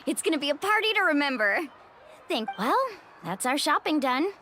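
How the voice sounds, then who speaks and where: A high, lively young woman's voice for a video game character. She is confident and playful, with a breezy casual delivery that swings widely in pitch. Music plays clearly behind her. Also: moderate pace, exaggerated voice. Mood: cheerful. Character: upbeat, confident young woman shopkeeper and adventurer. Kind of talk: animated video game voice acting.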